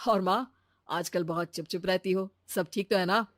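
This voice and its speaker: A woman's voice: fairly low pitch, steady tone, normal speed, no echo, studio quality, lightly acted. A woman voicing a supportive, reasonable young man in a moral story, earnest and reassuring. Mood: concerned. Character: supportive, reasonable young adult son and husband. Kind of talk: animated Hindi moral-story voice acting.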